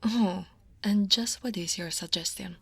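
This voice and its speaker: Dragon office senpai voiced by an Italian woman, guarded workaholic, husky whisper, unhurried, wry sighs, ASMR roleplay. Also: medium pitch, no echo, full character voice. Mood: wistful. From Italy. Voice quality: soft, often whispered delivery. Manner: wry, self-deprecating, with frequent sighs and soft laughs.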